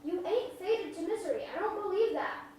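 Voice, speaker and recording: A woman's voice: very high-pitched, fairly quick, darkish tone. A woman playing an earnest, protective twelve-year-old country boy with rural dialect in a staged play reading. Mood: worried, tender. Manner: rural dialect, urgent and pleading. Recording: echoey hall from far off, faint noise.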